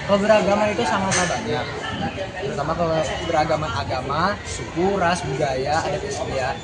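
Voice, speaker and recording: A man's voice: on the high side, moderate pace. A teenage boy presenting a school task, reading a prepared introduction earnestly and sometimes stumbling. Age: teens. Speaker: student presenter. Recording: loud crowd and noise behind.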